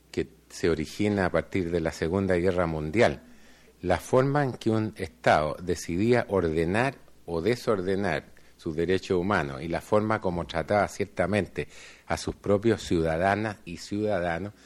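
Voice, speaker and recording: Deep-voiced man giving a measured panel talk. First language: Spanish. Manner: measured, hesitant with frequent fillers. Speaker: panel speaker, law school dean.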